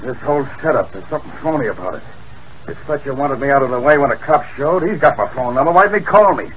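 A man's voice: medium pitch, lively intonation, fast talker, fairly bright tone, close to an everyday voice, rough recording. A man playing a boastful, streetwise gangster henchman in a 1940s radio play, talking in slangy tough-guy fashion over a hissy old broadcast. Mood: defiant.

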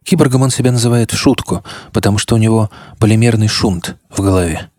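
A man's voice: fairly low pitch, quick pace, a little mellow, no echo, clean studio sound. A man hosting a podcast with scripted narration that speaks straight to the listener. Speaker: podcast host.

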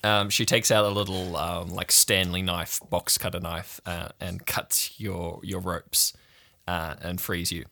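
A man's voice: deep voice, flat delivery, unhurried, gravelly, bright, forceful tone. A man narrating an improvised tabletop game as game master, in a descriptive storytelling way.

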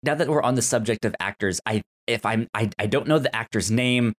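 A podcast co-host chatting casually about a TV show, haltingly, often restarting words and phrases. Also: mid-range voice.

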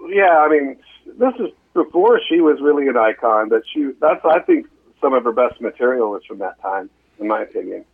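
Husky American man on a muffled call. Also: medium pitch, expressive, normal speed. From United States. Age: middle-aged. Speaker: interview guest, musician. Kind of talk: casual chat.

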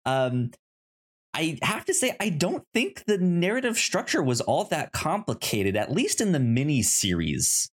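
Slow, halting man with a stutter. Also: a little high, huge pitch swings. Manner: halting, repeats syllables and words, stuttering. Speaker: podcast co-host. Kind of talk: casual film discussion.

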